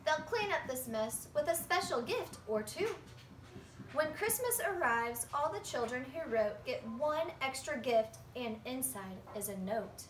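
A young woman reads a picture book aloud like a teacher, in a high, very lively singsong. She is recorded at home from across a roomy space, so the voice sounds distant. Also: measured pace. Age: young adult. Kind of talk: storybook read-aloud. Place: living room at home, indoors. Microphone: phone camera a few metres away. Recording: roomy, distant phone recording with faint noise.

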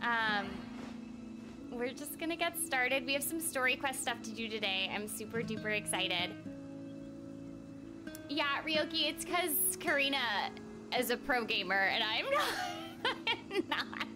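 An excitable, giggly young woman chatting casually on a live game stream, often repeating her phrases, close on a desk microphone. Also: a little high, a little slow, pauses often. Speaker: streamer and voice actress. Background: game music.

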